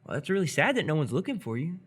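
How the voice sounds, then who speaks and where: A man's voice: a little high, very animated, fast talker, husky. An actor in live radio theatre playing an awkward, earnest seventeen-year-old boy, hesitant and curious. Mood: curious, uneasy.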